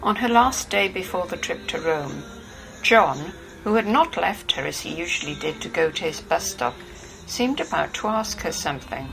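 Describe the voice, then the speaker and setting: A woman's voice: on the low side, animated, moderate pace, gravelly, fairly bright tone, faint music behind, rough recording. A woman narrating a novel from a script. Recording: faintly hissy home recording.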